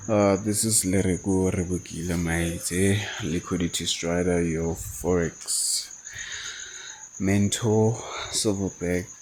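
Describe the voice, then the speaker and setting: A man's voice: low-pitched, steady tone, darkish tone, slight background noise, poor audio. A man from South Africa, not a native English speaker, thinking aloud as he walks through trading charts as a forex mentor. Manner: thinking aloud, halting with fillers and restarts. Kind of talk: screen-share trading walkthrough.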